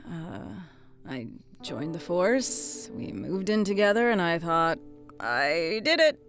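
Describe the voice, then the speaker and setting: A woman's voice: on the low side, big pitch swings, slow, fairly bright tone, faint music behind, heavily acted. An actress playing a troubled, self-doubting middle-aged former policewoman, earnest and hesitant, in naturalistic audio drama dialogue. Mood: wistful, rueful. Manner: hesitant, stammering, apologetic.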